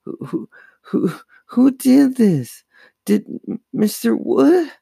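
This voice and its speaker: Give me a man playing a scared young girl from a kids' horror tale, high-pitched, stammering and dramatic. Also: very animated, takes it slowly, gravelly, mellow, slightly rough, dry recording, heavily acted. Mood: terrified. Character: frightened, pleading young girl in a children's horror story.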